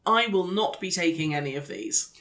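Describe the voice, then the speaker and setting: A player in a tabletop game, lively and chatty, switching in and out of character. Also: mid-range voice, normal speed.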